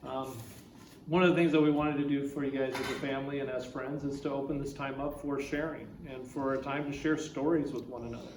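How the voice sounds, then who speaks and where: A man's voice: medium pitch, steady tone, fairly quick, a little mellow. Brief: male pastor leading a memorial, plain-spoken, mic far off in an echoey, noisy hall. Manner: plain-spoken, many fillers. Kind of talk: memorial service eulogy.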